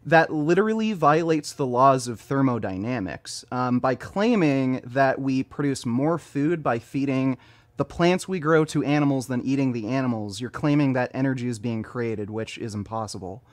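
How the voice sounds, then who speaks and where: A man in his early thirties is debating over a webcam video call, with a pitch right in the middle for a man. He argues hard and turns sarcastic, pushing back at every point he hears. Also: moderate pace. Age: 30s. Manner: argumentative, sarcastic. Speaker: online debater. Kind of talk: heated online debate.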